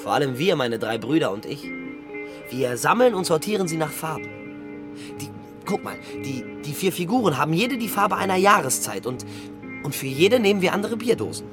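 A man's voice: a little high, sing-song, normal speed, music in the background. An actor playing an earnest, helpful young man in a German radio drama, casual and eager to explain. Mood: eagerly explaining.

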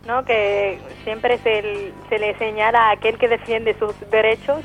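A woman from Western Sahara explains politics as a guest in a radio interview. Her intonation is fairly flat and her delivery halting, with frequent self-corrections. Music plays faintly behind her. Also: a little high, highs cut off. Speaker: guest, member of a Sahrawi association.

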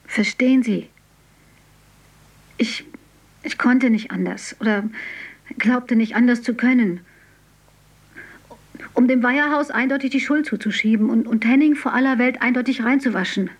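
A bitter woman confesses to police, speaking very slowly in a slightly high voice. She sounds set back from the mic in a radio drama. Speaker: narrator testifying. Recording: distant mic.

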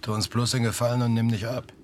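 A man's voice: medium pitch, flat delivery, fast, slight background noise, natural voice. An actor playing a hungry, teasing polar expedition crewman in a radio play, full of mocking, macabre banter.

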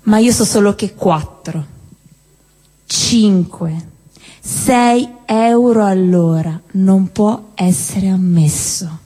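A woman performing a theatrical spoken-word monologue on stage, declaiming with deliberate phrasing and dramatic pauses. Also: medium pitch, dark tone. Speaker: stage performer at a live show. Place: indoor cinema-theatre venue with long reverb. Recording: reverberant hall, live stage performance.

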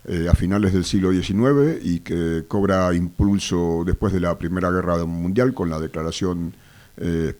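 A man from Argentina with an Argentine accent, a guest on a radio show, speaking with a cold in a measured, hesitant way, full of fillers. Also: deep voice, darkish tone. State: has a cold. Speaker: activist guest. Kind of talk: radio interview. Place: radio studio.

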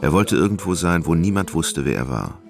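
A man narrating a dark literary radio drama as a grave, measured storyteller. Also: deep voice, lively intonation, vocal fry. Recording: faint music behind the voice.

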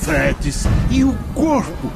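A cruel, jeering lost spirit in a radio drama. The voice is gravelly and swoops wildly, drawing out each mocking taunt at an unhurried pace. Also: male voice, fairly high pitch, big pitch swings, loud music behind the voice, heavily acted. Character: cruel, jeering wandering spirit of the lower realms.

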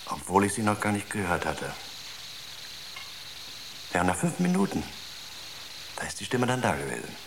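An earnest space-crew psychologist in a radio drama. His voice sits low, and he explains himself in a searching, natural way. Background noise is clearly audible. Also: huge pitch swings, unhurried, pauses often, exaggerated voice. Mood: hesitant, absorbed. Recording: noisy radio drama recording.

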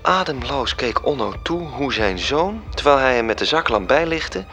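An actor narrating a radio drama as a storytelling angel reporting events. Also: male voice, mid-range voice, expressive, moderate pace, bright, forceful tone. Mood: measured, suspenseful. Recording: music under the voice.

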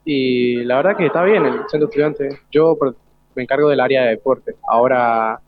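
A man's voice: medium pitch, moderate pace. Teenage Argentine boy, dull lo-fi sound. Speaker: student delegate being interviewed. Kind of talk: casual chat.

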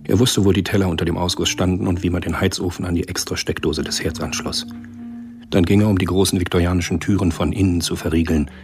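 A man's voice: sits low, steady tone, fast talker, over music. A man reading scripted narration for a radio play.

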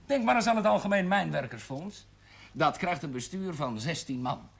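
Casting: earnest union chairman, fairly high gravelly voice, formal oratory, 1970s TV drama. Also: expressive, normal speed, heavily acted. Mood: earnest, proud. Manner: oratorical, emphatic. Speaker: actor as meeting chairman. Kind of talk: 1970s television drama acting, meeting speech.

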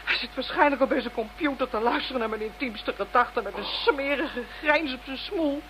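Captive woman, radio drama: sharp, forceful voice, defiant yet despairing, slight background noise. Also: sits high, fairly quick, poor audio. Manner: emotional, outspoken.

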